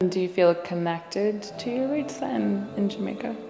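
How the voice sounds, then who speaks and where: A woman's voice: mid-range voice, a little slow, gravelly. A woman interviewer speaking sympathetically in a personal, conversational interview. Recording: echoey reverberant room, off-mic.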